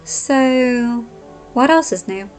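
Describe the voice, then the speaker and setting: A woman voicing a feisty, rebellious young highborn woman who argues sharply and defiantly in a raised, lifted voice. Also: lively intonation, very slow, darkish tone, soft background music, low-quality recording.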